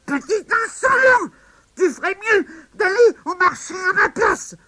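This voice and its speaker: A man's voice: extremely high pitch, animated, a little slow, bright, forceful tone, dry recording, pitched above the performer's own voice, full character voice. A man voicing a sneezing old grandmother witch with a bad cold, talking in a nasal, sniffly way. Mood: scolding.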